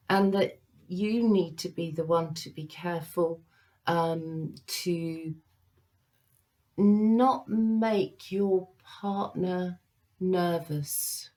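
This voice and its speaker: Slow-spoken woman giving a tarot reading. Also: on the low side. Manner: slow, halting, with many ums and pauses; gentle, reassuring. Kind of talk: unscripted tarot reading for viewers.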